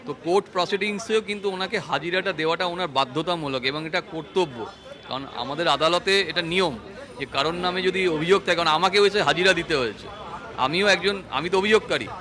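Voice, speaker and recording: A man's voice: sits high, fast talker. A man giving a statement to press outdoors, with crowd noise around. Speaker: complainant interviewed by press. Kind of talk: spoken statement in a street interview. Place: outdoors in court premises.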